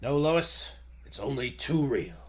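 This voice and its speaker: A noble superhero in secret identity from a 1940s radio serial, played by a man. He speaks earnestly and melodramatically in a middle register, halting and unhurried. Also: mid-range voice, expressive, pitched below the performer's own voice. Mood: urgent.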